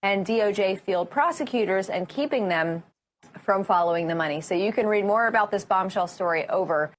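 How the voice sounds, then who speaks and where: A woman's voice: mid-range voice, a little fast. Woman reading a news report. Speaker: news presenter.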